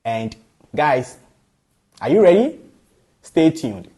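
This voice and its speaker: A man's voice: on the high side, big pitch swings, slow, mellow, breathy edge. A man with a Ghanaian accent hosting a casual interview show, often echoing back what is said to him. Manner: echoes the guest's phrases back.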